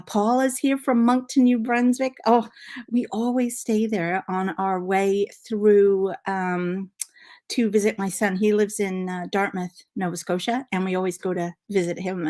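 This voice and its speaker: A middle-aged woman, originally from Liverpool, who now speaks with a Canadian accent and hints of her old one. She hosts a relaxed live stream from home, greeting viewers and rambling cheerfully with plenty of ums and asides, recorded on a laptop mic. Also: mid-range voice. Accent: Canadian English with faint Liverpool traces. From Liverpool, England. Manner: chatty, excitable, many fillers and asides. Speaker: knitting channel host. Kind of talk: casual live-stream chat.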